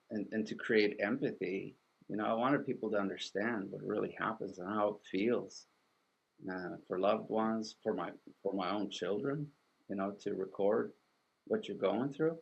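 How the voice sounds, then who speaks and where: A middle-aged man from Sweden, whose first language is Swedish, speaking as an artist and author in an interview, thoughtful and halting with many restarts. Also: on the low side, creaky, breathy edge, dull audio. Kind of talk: reflective interview conversation.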